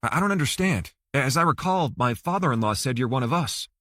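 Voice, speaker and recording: A man's voice: mid-range voice, expressive, brisk, bright, forceful tone, no echo, studio quality. A man voicing a cocky, evasive male lawyer in an audiobook, probing with hesitant starts. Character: Rafael Suarez, cocky evasive Cuban-American suspended lawyer.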